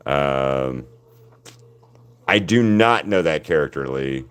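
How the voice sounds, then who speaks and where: A man with a deep voice, chatting casually with viewers while he paints on a livestream. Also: expressive, slow pace, fairly bright tone, clean tone. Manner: rambling, answers viewers' chat by name. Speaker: artist hosting a livestream.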